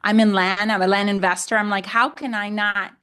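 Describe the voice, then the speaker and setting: A woman's voice: medium pitch, little pitch movement, a little fast, a bit bright, clean tone. A middle-aged woman from Honduras, a native Spanish speaker, talking conversationally as an interview guest and often repeating and rephrasing herself. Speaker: podcast guest, land investor.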